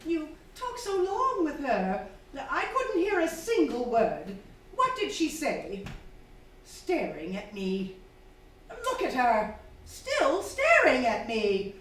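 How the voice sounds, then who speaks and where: A woman's voice: sits high, huge pitch swings, slow pace, fairly bright tone, exaggerated voice. A woman playing a domineering, suspicious and fearful wife in a radio drama, with an agitated, demanding, theatrical delivery. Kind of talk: stage radio drama acting. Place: theatre stage before a live audience. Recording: very echoey hall, distant, faint noise.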